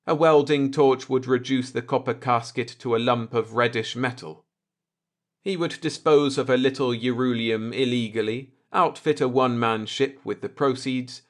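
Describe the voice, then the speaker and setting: A man's voice: medium pitch, fairly bright tone. A man reading scripted fiction as a dramatic audiobook narrator.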